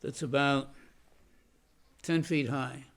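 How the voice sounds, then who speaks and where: An older man, a veteran, recalling his past in an oral history interview, halting with many restarts and fillers and a loud voice. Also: medium pitch, steady tone. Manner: says he has a loud voice.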